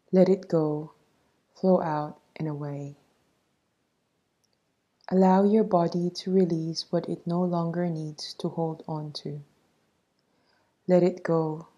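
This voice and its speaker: A woman leading a guided meditation in a soft, breathy voice, soothing and measured as she gives instructions. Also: low voice, highs cut off.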